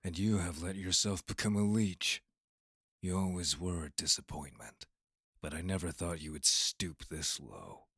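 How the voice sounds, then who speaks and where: An actor playing a scornful young monster hunter, a haughty estranged brother, in an audio roleplay drama with a sneering, deliberate delivery. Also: male voice, low-pitched, pushed and bright, husky, no room sound, studio quality, heavily acted. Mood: cold disgust.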